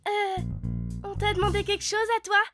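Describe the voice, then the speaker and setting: A woman's voice: very high-pitched, monotone, normal speed. A woman voicing a bossy, sarcastic little schoolgirl in a children's cartoon, with a French accent. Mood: scornful. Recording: music behind the voice.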